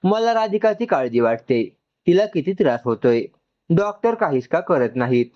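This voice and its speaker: A man's voice: on the high side, sing-song, normal speed, highs cut off. A man voicing an anxious young man in a dramatic story, pleading and agitated in his fear for a woman. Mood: worried, agitated.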